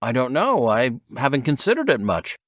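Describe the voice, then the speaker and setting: A man's voice: medium pitch, huge pitch swings, moderate pace, fairly bright tone, dry recording, pitched above the performer's own voice. A man voicing a young agent in an audiobook, earnest and nervous, speaking hesitantly and unsure of himself. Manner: hesitant, tentative. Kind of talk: audiobook character dialogue.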